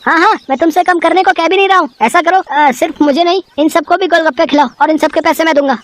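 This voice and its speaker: A woman voicing a naive, eager, excitable young male customer in a cartoon moral story, earnest and chatty. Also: extremely high pitch, quick pace. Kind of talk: acted Hindi moral-story cartoon dialogue.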